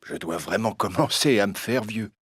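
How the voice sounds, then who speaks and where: A man playing a kindly old male woodcarver in a cartoon story, with a lively storybook delivery. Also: fairly low pitch, moderate pace, lightly acted. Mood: bewildered. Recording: music playing underneath.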